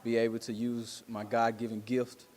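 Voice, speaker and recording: A man giving casual interview answers in a halting, earnest, conversational way. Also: mid-range voice, monotone, a little mellow. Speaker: stage actor being interviewed.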